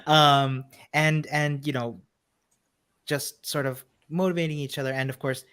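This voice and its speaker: A man's voice: a little high, steady tone, unhurried, a bit bright, clean tone. A man co-hosting a livestream, talking in an easygoing, encouraging way with many fillers. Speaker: co-host. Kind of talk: casual livestream chat.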